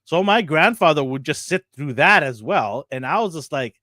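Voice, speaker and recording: A man from Canada co-hosting a casual chat, animated, laughing often and tripping over his words. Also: a little high, moderate pace, no room sound, studio quality. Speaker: co-host.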